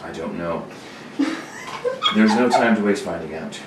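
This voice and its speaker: A man's voice: deep voice, a little slow, voice pushed down. A man acting a brave, earnest space hero in a stiffly heroic, declamatory radio serial manner. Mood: urgent. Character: brave, earnest young male space hero, protective and gallant. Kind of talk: old radio serial read-through. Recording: roomy distant mic, loud noise.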